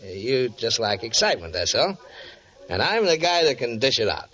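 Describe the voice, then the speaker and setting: An actor playing a brash, boastful, flirtatious private eye with slangy, cocksure talk in 1950s radio drama style. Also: male voice, mid-range voice, very animated, moderate pace, pushed and bright. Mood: cocky, flirtatious. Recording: dull, muffled old radio recording.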